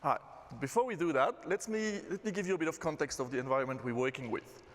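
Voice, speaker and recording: A man from Belgium with a Belgian accent, giving a relaxed conference talk as a systems engineer. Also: a little high, fast talker. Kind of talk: conference presentation. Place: conference hall. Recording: reverberant hall.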